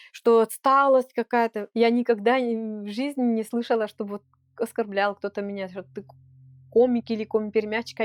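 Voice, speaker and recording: A woman's voice: a little high, a little mellow, no echo, clean studio sound. A woman speaking Russian with a Komi-Permyak accent, a native Komi-Permyak speaker, giving earnest, heartfelt interview answers as a children's folk ensemble leader.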